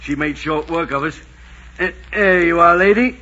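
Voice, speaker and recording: A man's voice: fairly high pitch, normal speed, pushed and bright. A man playing a brusque, leering soldier in command, bossy and mocking, in 1940s radio drama style. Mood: leering mockery. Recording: dull, muffled 1940s radio recording.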